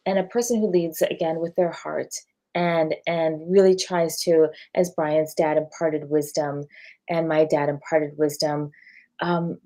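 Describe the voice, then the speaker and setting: A woman's voice: lowish voice, mellow. A woman on a video call panel speaking earnestly, often repeating words as she searches for them. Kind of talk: casual panel chat.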